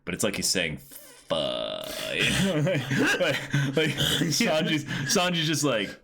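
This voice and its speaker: A man's voice: on the high side, very animated, measured pace, sharp tone, slightly rough. A man chatting casually and reacting as co-host of a comic reading stream.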